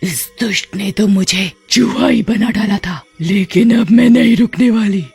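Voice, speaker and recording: Man voicing a high, husky cartoon witch. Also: a little mellow, faint music behind, exaggerated voice. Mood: gleeful, scheming. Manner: drawn-out, exclaiming, gloating. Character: greedy, cackling, ill-tempered female witch. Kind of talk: Hindi animated horror-comedy story acting.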